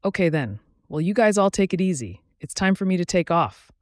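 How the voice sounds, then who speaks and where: A man's voice: high-pitched, very animated, a little fast, no room sound, pitched above the performer's own voice. Man reading as carefree buddy, jovial, breezy, joking, audiobook dialogue, dry sound.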